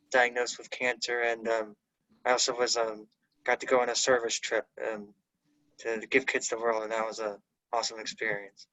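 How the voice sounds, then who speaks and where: A young man from New Jersey giving a halting introduction full of fillers and restarts. His tone is bright and forward but stays flat in pitch. It sounds dry and close over a computer mic on a video call. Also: dry recording, rough recording. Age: young adult. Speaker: incoming college student.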